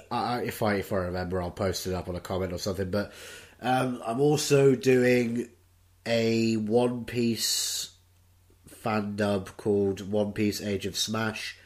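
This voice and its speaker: A man talking casually in an interview about his work, with a halting, hesitant manner. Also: fairly low pitch. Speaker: voice actor, cast member.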